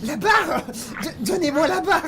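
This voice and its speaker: An actor playing a mad sailor in an audio drama, speaking in a drawn-out, unhinged, giggling manner. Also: male voice, very high voice, animated, heavy background noise. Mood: manic. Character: deranged sailor, gleefully mad and menacing.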